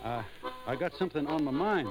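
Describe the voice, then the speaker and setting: Male actor as lovestruck backwoods lad: folksy Southern US dialect, halting, stubborn, fairly high pitch, vintage radio play. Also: animated, loud music behind the voice, lightly acted, rough recording. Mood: bashful, determined. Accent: rural Southern US. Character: stubborn, lovestruck, hot-headed young backwoods country man. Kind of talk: old radio drama acting.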